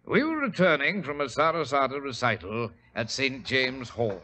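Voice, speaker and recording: An actor playing a blustering, flustered middle-aged English doctor in a 1940s radio drama, with a British accent. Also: male voice, medium pitch, expressive, moderate pace, bright, forceful tone. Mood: reminiscing. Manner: blustery, indignant, stammering. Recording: old radio recording with faint hiss.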